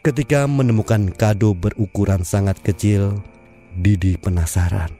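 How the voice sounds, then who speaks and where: A man narrating an audio drama in a measured storytelling manner. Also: fairly low pitch, warm tone. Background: faint music.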